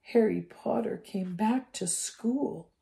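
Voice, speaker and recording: Woman putting on a squeaky pleading house elf. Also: expressive, slow pace, mellow. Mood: miserable whisper. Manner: squeaky, pleading, sometimes whispering. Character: pitiful, anxious male house elf, small.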